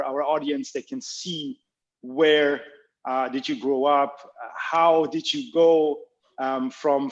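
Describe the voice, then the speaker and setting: A man hosting a podcast, asking questions in a halting way and saying "okay" often. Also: on the high side. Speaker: podcast host. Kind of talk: casual interview talk. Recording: dull, lo-fi sound.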